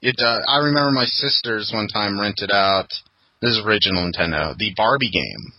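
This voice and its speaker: A man's voice: lowish voice, lively intonation, moderate pace, pushed and bright, no room sound, low-quality recording. Laughing, talkative male podcast host. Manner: laughs often, rambling anecdotes. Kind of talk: casual chat.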